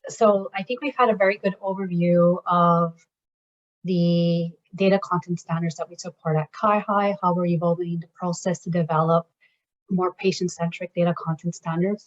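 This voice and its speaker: A woman presenting at a workshop while getting over a cold, her voice a little raspy and sitting somewhat low. She explains things in a steady, methodical way, and her audio comes through muffled and lo-fi, like a web call. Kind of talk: presenting slides at an online workshop.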